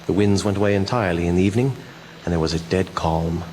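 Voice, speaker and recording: An actor playing a grave doctor who reads evidence aloud in a measured, portentous radio drama style. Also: male voice, low-pitched, darkish tone, slight background noise, close to an everyday voice, rough recording. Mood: grave, suspenseful. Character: grave male doctor presenting evidence, reading aloud solemnly.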